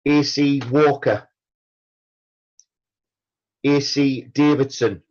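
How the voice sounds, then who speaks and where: A man reads out a memorial roll call at an extremely slow, measured pace. His delivery is formal and solemn, with a pause after each entry. His pitch is average for a man, and the recording sounds slightly dull and lo-fi. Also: steady tone, a little mellow. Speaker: veterans' branch chairman reading a roll call.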